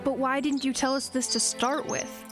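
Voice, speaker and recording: A woman playing a quick-thinking, assertive young woman in an audio drama, questioning and insistent. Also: high-pitched, flat delivery, over music, close to an everyday voice. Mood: relieved. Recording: loud outdoor background noise.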